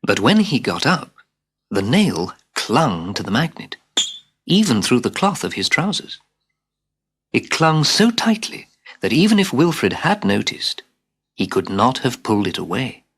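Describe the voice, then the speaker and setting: A man narrating a scripted children's story as a dramatic, expressive storyteller who drops into hushed asides. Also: medium pitch, a little slow. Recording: hissy old cassette tape.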